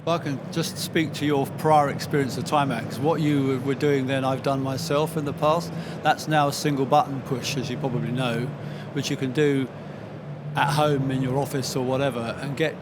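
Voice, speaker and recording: A man's voice: mid-range voice, fairly even intonation, normal speed. A man speaking as an expert panelist at a live trade show seminar, giving an explanatory answer. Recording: clear background noise.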